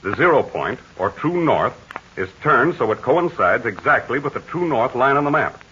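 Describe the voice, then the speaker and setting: An actor playing a calm heroic pilot who patiently explains and gives orders, in 1940s radio acting on a dull, hissy vintage recording. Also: male voice, medium pitch, moderate pace. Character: calm, steady adult male hero pilot.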